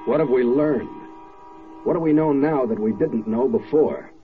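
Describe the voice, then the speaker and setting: A man's voice: mid-range voice, normal speed, background noise, close to an everyday voice. An actor playing an urgent, searching fighting man putting insistent questions in a 1940s radio drama. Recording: tinny vintage radio recording, music behind.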